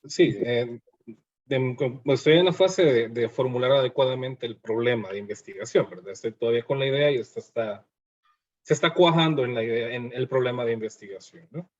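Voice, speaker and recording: A man from El Salvador describes his research plan to his class in a measured way, often tagging his sentences with questions. He speaks from a video call, and the sound comes through dull and low-fidelity. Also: darkish tone. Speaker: doctoral student.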